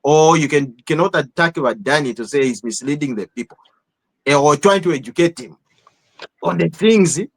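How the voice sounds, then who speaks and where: A man's voice: fairly high pitch, normal speed, slightly rough. A Zambian man hosting a heated podcast panel debate, talking in bursts with repeated words and local phrases mixed into his English. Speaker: podcast host moderating a panel.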